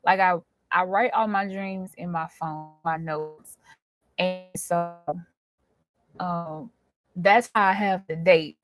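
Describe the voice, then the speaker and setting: A woman's voice: lowish voice, fairly even intonation, takes it slowly. A woman chatting casually and informally to her viewers as the host, telling stories in colloquial everyday speech. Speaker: host, dream interpreter.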